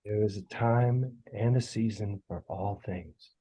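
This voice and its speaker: Man playing a slow, husky, mysterious doom preacher. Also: on the low side, mellow, poor audio. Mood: ominous. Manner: slow, solemn, scripture-quoting delivery. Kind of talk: table read of a horror script.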